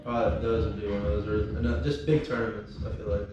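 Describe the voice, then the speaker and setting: A man's voice: on the low side, flat delivery, fast, far from the mic, muffled recording, noisy background. A young man from Venezuela, a college freshman guest, rambling with many fillers and restarts. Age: young adult. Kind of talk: casual chat. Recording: echoey room from afar with music.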